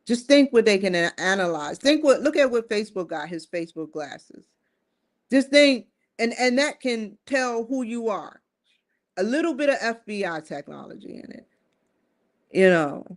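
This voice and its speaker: A woman's voice: on the high side, animated, normal speed. A woman in her forties hosting a live-streamed solo talk show, rambling earnestly with frequent restarts. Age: 40s. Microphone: streaming setup.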